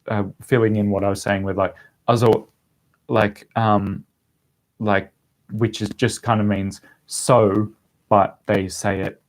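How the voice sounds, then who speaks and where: Aussie guy doing a casual live q&a, lowish voice, just talking to viewers. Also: low-quality recording. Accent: Australian. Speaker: language-learning vlogger answering viewer questions. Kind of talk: casual livestream Q&A chat.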